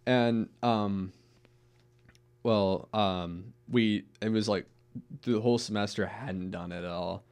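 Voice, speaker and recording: A young man with a deep voice chatting casually as a podcast guest, rambling with restarts and swearing. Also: steady tone, takes it slowly, a little mellow, smooth. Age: young adult. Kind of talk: casual chat.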